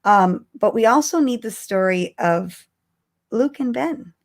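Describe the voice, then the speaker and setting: Woman, medium pitch, podcast co-host, conversational, emphatic, thinking out loud, informal fan talk. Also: expressive, unhurried. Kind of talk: casual fan discussion.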